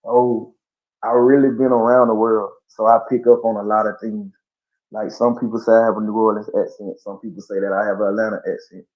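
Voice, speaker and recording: A man, speaking low and easy as he tells stories in a casual podcast interview. His talk is loose, full of slang and fillers. The audio is dull and lo-fi. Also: flat delivery, brisk. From Columbus, Georgia. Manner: laid-back storytelling with slang and fillers. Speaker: guest artist.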